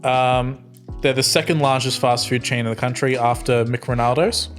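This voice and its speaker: A man's voice: mid-range voice, fairly even intonation, normal speed. A man with an Australian accent chatting casually as a player in a tabletop game. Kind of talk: casual chat. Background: music.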